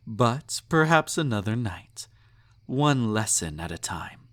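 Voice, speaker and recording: A man's voice: lowish voice, a bit bright, exaggerated voice. A man playing a snobby, possessive emperor in an intimate romantic audio roleplay, indulgent and menacing, with a haughty, purring, condescending delivery.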